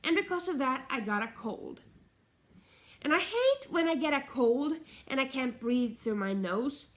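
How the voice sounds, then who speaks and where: A woman's voice: sits high, expressive, measured pace. Chatty nasal woman vlogging. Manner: chatty, meandering, self-correcting. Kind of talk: casual rambling vlog chat.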